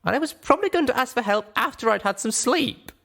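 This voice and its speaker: A man's voice: extremely high pitch, expressive, quick pace, gravelly, bright, forceful tone, pitched above the performer's own voice, heavily acted. A man voicing a stubborn, angry young female pony in a dramatized audiobook, with a harsh, emphatic delivery. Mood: angry, bitter.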